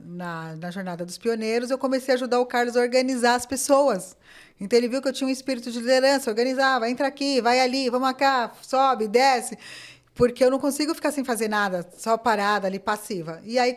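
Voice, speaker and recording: Woman, lively podcast guest, slightly high voice, storyteller who acts out dialogue. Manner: animated, acts out quoted dialogue, many fillers. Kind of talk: casual interview storytelling.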